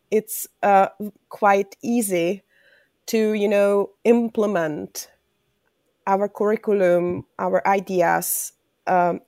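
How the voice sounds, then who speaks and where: A woman's voice: mid-range voice, dry recording. Czech-accented woman, dry close sound. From Czech Republic. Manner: earnest, with halting non-native English. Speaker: Czech school educator. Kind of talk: interview conversation.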